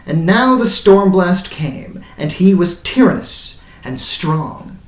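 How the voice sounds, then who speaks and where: A man's voice: high voice, animated, takes it slowly. A man voicing a haunted, grey-bearded old sailor who recounts his voyage in dramatic, incantatory verse that swells and falls away. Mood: grave, intense. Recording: roomy echo, distant mic.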